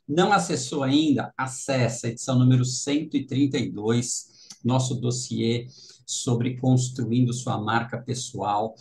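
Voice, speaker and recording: A man with a Brazilian Portuguese accent hosting a casual interview, expressive and teasingly insistent. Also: medium pitch. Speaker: interview host.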